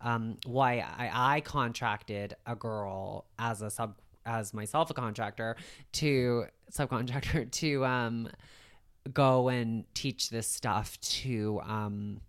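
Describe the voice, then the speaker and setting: Chatty woman guest, smooth voice. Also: rumbling low, takes it slowly. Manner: chatty and informal, with frequent fillers and laughs. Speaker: interview guest. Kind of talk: casual conversational interview.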